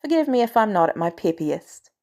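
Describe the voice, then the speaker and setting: A woman's voice: mid-range voice, sing-song, a little fast, dark tone. A woman voicing a brusque, commanding female agency director in an audiobook story, blunt and sardonic with an air of authority. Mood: irritated, brusque.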